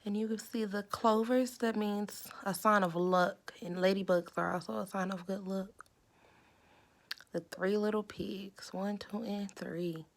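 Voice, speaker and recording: A woman reads a children's story aloud in a medium-pitched voice with noticeable creak. She narrates and explains in simple terms, like an instructive reader for young listeners. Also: steady tone, unhurried.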